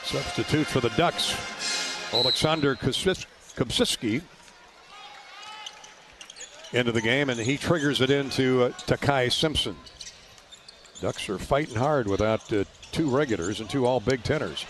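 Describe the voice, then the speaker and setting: A man's voice: medium pitch, fairly bright tone. American man calling live radio basketball. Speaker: radio play-by-play announcer. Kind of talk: live basketball play-by-play commentary. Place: basketball arena.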